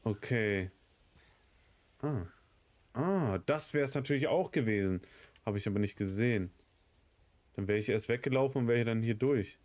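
A man's voice: mid-range voice, moderate pace, long pauses, darkish tone. A man in his thirties with a cold, giving casual, sweary gameplay commentary as a let's play host. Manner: sweary, muttering reactions to the game. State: has a cold, coughing.

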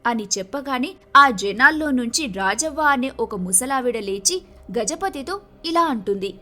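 High, bright-voiced woman storyteller. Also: expressive, measured pace, soft background music. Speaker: storyteller, narrator. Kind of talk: scripted moral-story narration.

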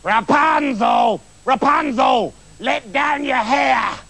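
A man's voice: very high-pitched, gravelly, fairly bright tone, breathy edge, shouting, voice pushed up, full character voice. A man voicing a wicked, furious old witch with a screechy, scolding voice in a storybook tale. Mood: impatient, calling. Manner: gloating, scolding, drawn-out cries.

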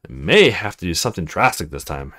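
A man voicing a brooding young male visual novel protagonist in a low, musing way. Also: sing-song, fast talker, bright, forceful tone, heavily acted.

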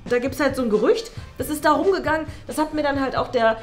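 High-voiced gossipy teacher played by a woman. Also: faint music behind, close to an everyday voice. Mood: secretive, gossipy. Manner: halting, self-interrupting gossip. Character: gossipy, indignant female school teacher. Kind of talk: improvised comedy sketch acting. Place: small meeting room.

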